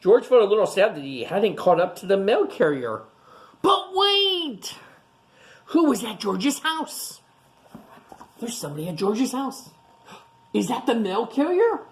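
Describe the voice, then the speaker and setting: A woman teacher reading a picture book to young children, expressive and pausing to explain things simply in child-directed speech. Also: fairly high pitch.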